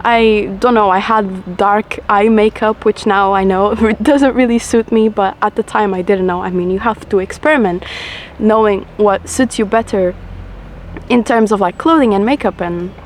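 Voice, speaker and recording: A young woman in her twenties, from Portugal, with a low voice, chatting reflectively and a bit ramblingly to camera while smoking. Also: normal speed, a little hiss or hum. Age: 20s. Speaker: vlogger. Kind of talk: casual chat to camera.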